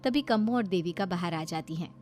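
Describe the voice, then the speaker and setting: A woman giving expressive scripted narration for a cartoon story. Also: on the low side, a little fast, soft background music.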